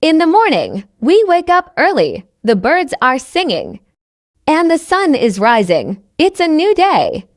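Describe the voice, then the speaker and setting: High-pitched woman narrating a simple lesson. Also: wildly swooping pitch, measured pace, no room sound, studio quality. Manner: carefully enunciated, repeats sentences identically. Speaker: narrator of English learning stories. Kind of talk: scripted slow narration for language learners.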